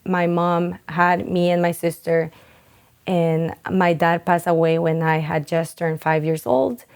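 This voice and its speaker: A woman's voice: on the low side, steady tone, unhurried, a little mellow, smooth. A young woman from Honduras, founder of a nonprofit, giving an earnest, heartfelt testimony with some hesitations. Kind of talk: documentary testimony interview.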